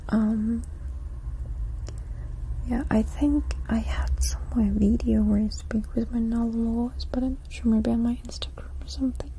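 A young woman whispering softly into two sensitive foam-covered microphones during an ASMR live stream in a small indoor room. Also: fairly high pitch, fairly even intonation, moderate pace, slight background noise, low-quality recording. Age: young adult. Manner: soft unvoiced whispering close to the mics. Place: small indoor room before a blue backdrop. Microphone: two foam-covered shotgun mics right at her face.

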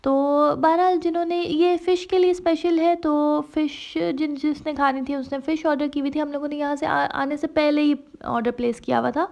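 A woman's voice: sits high, gravelly, a little mellow. A woman travel vlogger narrating her trip casually, slipping English phrases into her talk with frequent fillers. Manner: chatty, mixes English phrases into Hindi-Urdu, many fillers.

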